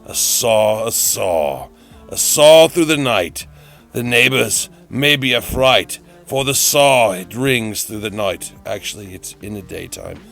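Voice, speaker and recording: Man, gravelly low voice, theatrical storybook narration, whimsical, melodramatic, repeated phrases, music behind. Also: lively intonation, slow, a bit bright. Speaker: narrator of a DIY build series. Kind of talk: theatrical storybook-like narration of a hobby build video.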